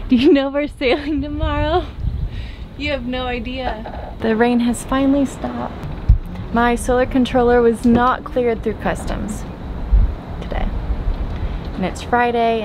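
A woman's voice: a little high, vocal fry. Young woman, twenties, casual selfie vlog from a boat deck, chatty and rambling, wind noise heard behind her. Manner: chatty, thinking aloud with fillers. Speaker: sailing vlogger. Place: outdoors on a sailboat deck at anchor. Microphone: camera held at arm's length. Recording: noisy outdoor wind and water.

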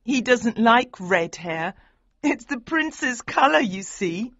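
A man's voice: very high voice, animated, slow, sharp tone, no room sound, voice pushed up, heavily acted. A man reading an audiobook, voicing an outspoken, defiant young woman, the hotel owner's daughter, in a dull lo-fi recording. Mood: teasing. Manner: defiant, insistent.

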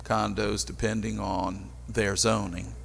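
An older man questioning staff as a council member in a formal meeting, halting and deliberate, at a desk microphone in a chamber. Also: fairly low pitch, little pitch movement, creaky, fairly bright tone, slight background noise. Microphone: gooseneck desk microphone. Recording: reverberant meeting hall.

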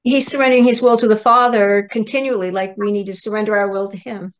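A woman's voice: medium pitch, steady tone, quick pace, low-quality recording. A woman joining a Bible study on a video call, giving eager, affirming replies and adding her own points.

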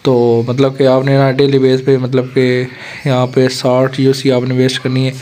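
Pakistani male gaming host, flat delivery, chatty voice-over walkthrough. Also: mid-range voice, moderate pace, a little hiss or hum. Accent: Pakistani Urdu. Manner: chatty, repeats filler "matlab" often. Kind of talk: casual screen-recorded game tutorial. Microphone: voice-over on a screen recording.

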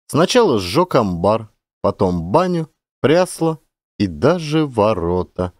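A man narrating a literary story as a dramatized audiobook, with expressive, theatrical delivery. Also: mid-range voice, no room sound, studio quality.

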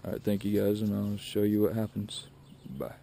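A man's voice: lowish voice, completely flat delivery, moderate pace, creaky, mellow, slight background noise. A young man talking casually to an action camera outdoors while fishing, in a plain, matter-of-fact way. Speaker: fishing vlogger. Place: outdoors by a truck and a river at dusk. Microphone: wide-angle action camera held close.

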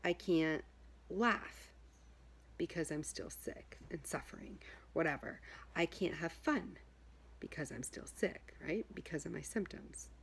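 A woman in her thirties with a husky voice, talking informally to camera and coaching in an emphatic, repetitive, conversational way. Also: low-pitched, lively intonation, measured pace. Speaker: health vlogger giving self-help advice. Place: small furnished room at home, indoors.

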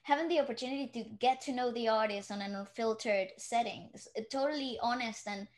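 A woman's voice: fairly high pitch, a little fast. A young woman co-hosting a podcast from a living room, reflective and searching for words in casual conversation. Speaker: podcast co-host.

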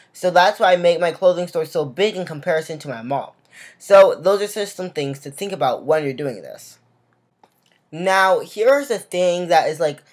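Chatty young woman, slightly low voice. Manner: chatty, frequent "like" and "guys", self-restarts. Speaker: game tutorial creator. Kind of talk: casual voice-over tutorial.

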